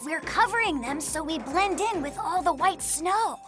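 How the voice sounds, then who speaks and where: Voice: extremely high pitch, expressive, moderate pace, music in the background. An actor voicing an earnest, gullible, slightly pompous little polar bear cub in a children's cartoon. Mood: earnest, solemn.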